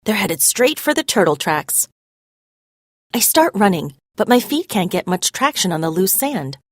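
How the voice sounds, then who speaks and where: A woman narrating a scripted story as a read-aloud, expressive and clearly phrased. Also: mid-range voice, measured pace, no room sound, clean studio sound.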